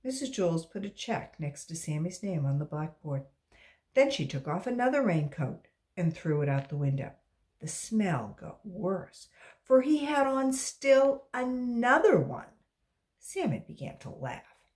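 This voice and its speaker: A woman reading a story aloud to young children, expressive and emphatic, as a volunteer reader. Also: fairly low pitch, measured pace.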